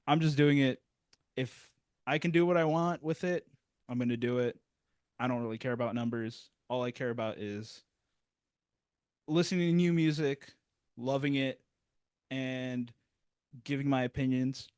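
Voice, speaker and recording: A man's voice: mid-range voice, moderate pace, pauses often, darkish tone, clean tone, dry recording. A man hosting a music review interview, talking in a rambling, self-deprecating way with many restarts. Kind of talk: casual interview chat. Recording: dry, close sound.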